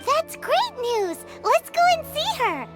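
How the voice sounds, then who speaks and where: A woman playing a tiny, chirpy, childlike fairy companion in a video game, eager and talkative with a squeaky voice. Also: big pitch swings, slow, over loud music, heavily acted.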